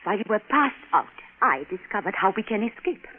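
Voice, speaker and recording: An actress as an expressive young spacewoman, old radio. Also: mid-range voice, fairly quick, phone-quality sound, lightly acted. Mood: eager. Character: resourceful, eager young woman space pilot, tender and anxious. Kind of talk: 1950s radio acting. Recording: band-limited, noisy vintage radio recording.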